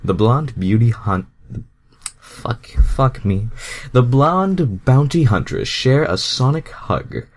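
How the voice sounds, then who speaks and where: A man's voice: medium pitch, lively intonation, slow. A man doing a casual amateur read-aloud of fan fiction, stumbling over the text and breaking into exasperated, foul-mouthed asides.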